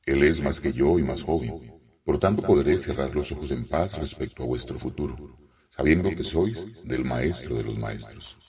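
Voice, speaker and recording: An actor playing an aged, wise rabbi in a radio drama, speaking slowly and solemnly. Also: male voice, a bass voice, expressive, gravelly, darkish tone, breathy edge, far from the mic, exaggerated voice. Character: aged wise male rabbi, calm and humble.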